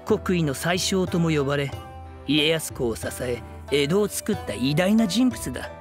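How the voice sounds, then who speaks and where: Stern young scholar-shogun for anime drama: courteous, precise, formal, over clear background music. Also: male voice, on the high side, animated. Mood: earnest, explanatory. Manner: formal, measured, explanatory.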